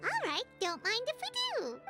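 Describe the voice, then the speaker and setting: A tiny chirpy fairy companion squeaks along in a very high, bouncy voice. She chatters eagerly, her pitch leaping all over the place. Game music plays softly beneath her. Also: wildly swooping pitch, moderate pace, exaggerated voice. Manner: chirpy, eager, talks about herself in the third person. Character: tiny cheerful floating fairy companion, female. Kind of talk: video game voice acting.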